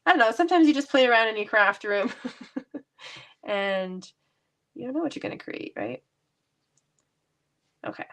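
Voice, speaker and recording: A woman's voice: fairly high pitch, lively intonation, moderate pace, pauses often. A woman with a stuffy cold voice, chatting casually and laughing lightly as she demonstrates card making in her basement craft room. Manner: chatty, laughs often, self-deprecating.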